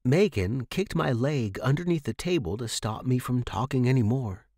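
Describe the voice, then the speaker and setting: Animated male storyteller, dry close sound. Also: medium pitch, moderate pace, no room sound. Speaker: fiction podcast narrator. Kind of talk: scripted story narration with character voices.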